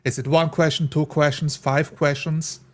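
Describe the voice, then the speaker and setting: A man with a voice a little higher than average talks casually as a tabletop player. He lays out his thinking in an informal way, often posing rhetorical questions. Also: flat delivery, moderate pace. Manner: explains through rhetorical questions. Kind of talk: casual discussion of game tactics.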